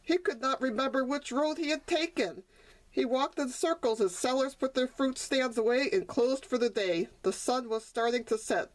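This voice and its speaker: Higher-voiced woman narrating a children's storybook. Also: normal speed, rough recording. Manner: expressive read-aloud for children. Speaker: author reading own children's book.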